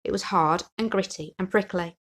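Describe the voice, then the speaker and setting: A woman reading a children's picture book aloud as an expressive storyteller. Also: fairly low pitch, normal speed. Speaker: story reader.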